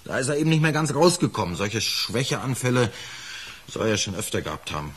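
An actor playing a defensive working-class lift fitter in a radio crime play, talking colloquially and haltingly. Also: male voice, medium pitch, sing-song, breathy edge. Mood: agitated, defensive. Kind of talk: naturalistic German radio drama acting.

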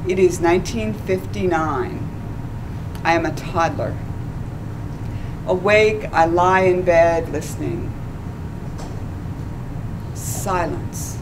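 A woman in her sixties reads her own personal essay from the page on a small stage. Her voice sits a little low, and she lingers over each phrase. Steady noise from the live room runs under the recording. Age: 60s. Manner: slow, deliberate, measured delivery. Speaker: author reading her essay. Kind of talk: literary reading. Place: small stage in a dark club.

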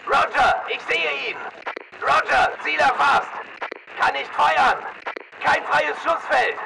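An actor playing a tense, hard-edged soldier in a video game, giving clipped military combat calls. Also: male voice, very high voice, takes it slowly, creaky, distant mic, very noisy, heavily acted. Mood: urgent, commanding.

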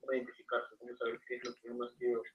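Fast-talking man on a distant, echoey line. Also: mid-range voice, monotone, muffled recording. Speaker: caller asking questions. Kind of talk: questions on a video call. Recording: echoey distant call audio with faint noise.

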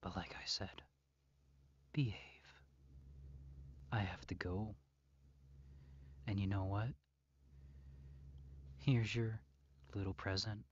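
Guy doing a creepy possessive yandere psycho boyfriend, super slow n whispery, dry muffled roleplay audio. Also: on the low side, fairly even intonation, a little hiss or hum. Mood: cold devotion. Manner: slow, drawn-out, mock-tender, often dropping to whispers. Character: obsessive male yandere psychopath captor, possessive and menacing. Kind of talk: intimate roleplay audio drama monologue.

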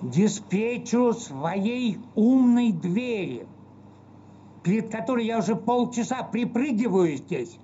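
A man's voice: sits high, sing-song, slow, warm tone, a little hiss or hum, exaggerated voice. A man playing an exasperated, sarcastic resident locked out of his home, complaining irritably. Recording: muffled lo-fi audio with faint music.

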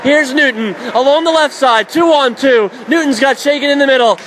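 A man doing radio play-by-play of a college hockey game, calling plays quickly and shouting at the big moments. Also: very high-pitched, a faint crowd. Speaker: play-by-play announcer. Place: college ice arena. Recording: noisy background.

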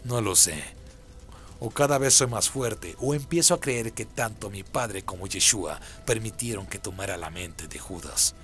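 A man's voice: fairly low pitch, unhurried, a bit bright, soft background music. A man voicing a proud, scornful fallen angel who muses darkly in a radio drama. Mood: brooding, scornful. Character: Lucifer, brooding proud male fallen angel.

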